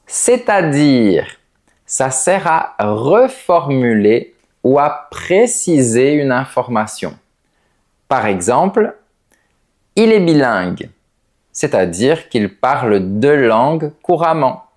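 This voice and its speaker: A man teaching French, explaining each point slowly and clearly with pronounced emphasis on key words. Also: mid-range voice. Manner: carefully enunciated, explanatory, with lively rising emphasis on key words. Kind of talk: slow, clearly articulated teaching.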